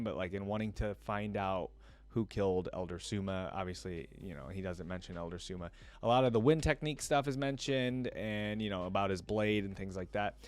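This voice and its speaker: Low-voiced man doing casual reaction commentary. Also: clean tone. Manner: conversational, rambling. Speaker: reaction video host.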